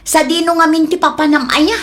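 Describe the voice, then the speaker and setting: A woman's voice: extremely high pitch, steady tone, brisk, a bit bright. A woman playing a nagging, exasperated wife in a comedy audio drama.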